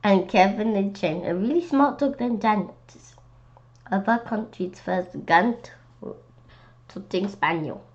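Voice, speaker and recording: A woman reading a storybook aloud with casual asides, in a halting way, stumbling over and repeating words. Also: mid-range voice, highs cut off. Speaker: read-aloud presenter.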